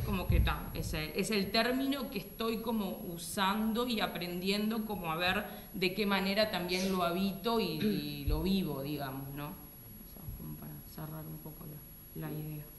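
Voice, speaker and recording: A panelist talks slowly and haltingly, filling pauses while thinking aloud. The sound is roomy and echoing, with faint noise underneath. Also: mid-range voice, fairly even intonation. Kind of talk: panel discussion. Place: echoey hall. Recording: echoey hall.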